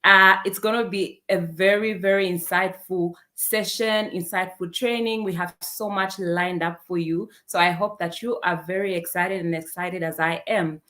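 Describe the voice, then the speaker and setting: A woman's voice: fairly low pitch, steady tone, normal speed, hardly any pauses, pushed and bright. A woman with a Zambian accent moderating an online event, welcoming the audience in an enthusiastic, emphatic way. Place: online video meeting.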